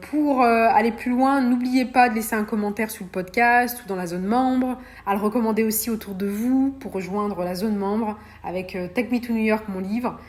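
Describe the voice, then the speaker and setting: A woman hosting a solo podcast, talking in a hesitant way with many fillers and restarts. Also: a little high. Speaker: podcast host.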